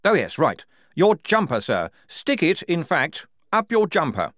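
A man's voice: on the high side, huge pitch swings, a little slow, fairly bright tone, no echo, clean studio sound, pitched above the performer's own voice, full character voice. A man voicing a fussy, overly polite young male lieutenant who stammers when flustered. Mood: prim defiant.

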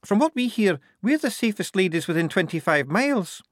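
A man's voice: sits high, big pitch swings, brisk, fairly bright tone, dry recording, studio quality, pitched above the performer's own voice. A man voicing a cheeky, streetwise young working woman in an audiobook, chatty and matter-of-fact.

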